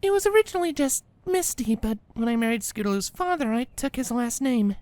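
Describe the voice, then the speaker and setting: A woman's voice: on the high side, animated, brisk, darkish tone, no echo, lightly acted. A woman playing a polite but insistent mother mare in a cartoon-pony fan fiction audio drama.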